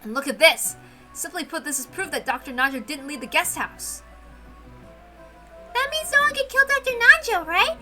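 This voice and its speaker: A woman playing an alarmed, insistent young murder suspect in a visual novel reading, loud and emphatic. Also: very high voice, expressive, moderate pace, pauses often, soft background music, pitched above the performer's own voice, heavily acted. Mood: baffled, insistent.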